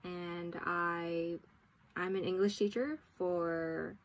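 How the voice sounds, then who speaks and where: A woman in her twenties from Hawaii, a guest in a casual podcast interview, talking easily with fillers and soft laughs. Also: lowish voice, steady tone, slow. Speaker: guest, English teacher and content creator. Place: home near a train line in Tokyo.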